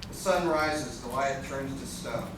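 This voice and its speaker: A man's voice: medium pitch, measured pace. A man narrating a scripted radio play live at an event in a large room. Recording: echoey hall from far off, noisy.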